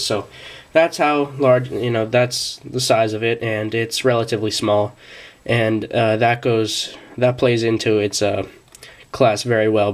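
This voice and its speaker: Halting sick young man reviewing a knife. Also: medium pitch. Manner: halting, many fillers and restarts. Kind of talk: casual product review.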